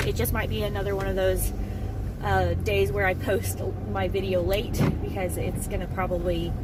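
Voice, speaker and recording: Hoarse chatty woman vlogging. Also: medium pitch, noisy background. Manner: chatty, rambling with many ums. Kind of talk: casual daily vlog to camera. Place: outdoors on a rural road, wind.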